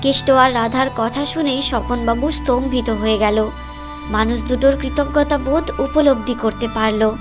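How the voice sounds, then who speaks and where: Higher-pitched woman narrating a story. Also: steady tone, normal speed, over music.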